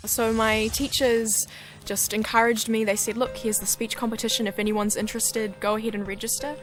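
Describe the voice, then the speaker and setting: Kiwi teen girl chatting, flat voice, music underneath. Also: a little high, moderate pace, poor audio. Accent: New Zealand. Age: teenager. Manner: relaxed, monotone, thinking aloud. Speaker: interviewee. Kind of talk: casual interview answers.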